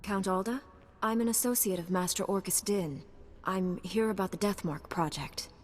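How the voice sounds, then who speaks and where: An actress playing a resolute young female knight in a video game, calm, direct and earnest. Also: medium pitch, moderate pace, long reverb tail, close to an everyday voice. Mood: composed. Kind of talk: video game cutscene acting.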